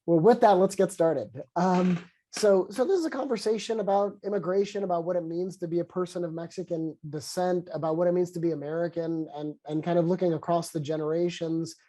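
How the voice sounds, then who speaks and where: A man's voice: high voice, fairly even intonation, mellow. A man with a head cold and a congested voice, speaking earnestly on a video call as he opens a webinar conversation. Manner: earnest, halting with restarts. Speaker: sociologist and conversation co-lead.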